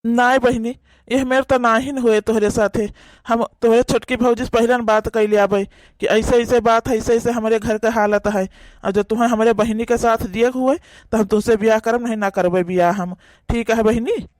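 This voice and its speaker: A woman with an Awadhi accent voicing a kind young elder brother who gently comforts his sister in a cartoon. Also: fairly high pitch, fairly even intonation, normal speed, no echo, pitched below the performer's own voice, natural voice. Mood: comforting.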